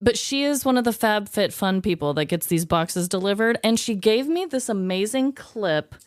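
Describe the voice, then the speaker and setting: A woman co-hosting a podcast in casual, comedic chat, playful and expressive. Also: mid-range voice, normal speed, dry recording, clean studio sound. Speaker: podcast co-host.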